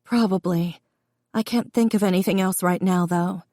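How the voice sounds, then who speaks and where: A woman's voice: on the low side, fairly even intonation, normal speed, dark tone, no echo, clean studio sound, close to an everyday voice. A woman voicing a caring but firm grown daughter in an audiobook, plain-spoken. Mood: tired. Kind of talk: audiobook character dialogue.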